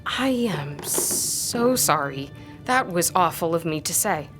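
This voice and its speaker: A woman in an audio drama playing an earnest, guarded young scholarly tutor who speaks in a measured, formal way. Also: on the low side, animated, music in the background. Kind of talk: audio drama acting with formal first-person narration.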